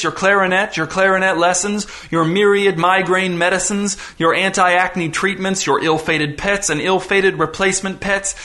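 A man's voice: fairly high pitch, bright, forceful tone. A man voicing a gleeful, cruel male tormentor in an audiobook, grandiose and scornful in a theatrical, declamatory way. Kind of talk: audiobook character voice.

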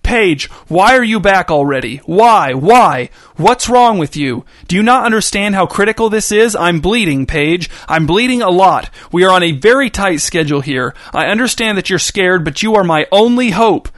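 A man voicing an injured father who shouts at his daughter, raw with pain and anger. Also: a little high, moderate pace, no room sound, clean studio sound. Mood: angry. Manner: shouting, strained. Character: grown man playing an injured, furious father.

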